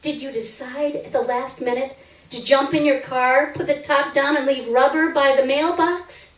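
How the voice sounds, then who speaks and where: A woman performs a literary short story in a fairly high voice, with a theatrical and expressive delivery. The sound is very roomy, picked up some way from the microphone, as in a live stage reading. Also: moderate pace, far from the mic. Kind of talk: dramatic first-person literary reading.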